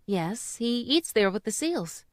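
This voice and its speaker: An earnest, easily flustered young mother played by a woman in an old-time radio play. The voice is fairly high and expressive, with halting, unhurried delivery and a dry, close sound. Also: no room sound. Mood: flustered, defensive.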